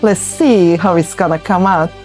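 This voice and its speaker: A woman's voice: fairly low pitch, moderate pace, a little mellow, soft background music. A woman from Turkey with a Turkish accent, chatting informally as an artist interviewed about her work, often voicing her own thoughts as quotes. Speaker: ceramic artist, interview guest.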